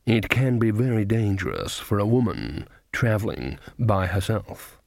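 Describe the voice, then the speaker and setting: A man voicing a smooth-talking card sharper with a drawling, courteous, falsely friendly manner, as an audiobook character. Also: lowish voice, very animated, unhurried, no room sound, studio quality, exaggerated voice. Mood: oily, courteous.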